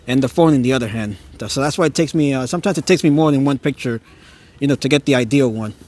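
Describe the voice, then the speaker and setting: A man's voice: mid-range voice, fast, a little mellow. A man hosting a live stream as he walks a street outdoors, speaking with awe, chuckling often and stammering now and then. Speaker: live-stream host touring holiday lights. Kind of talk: casual live-stream narration. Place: outdoors on a neighborhood street at night. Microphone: phone on a handheld gimbal.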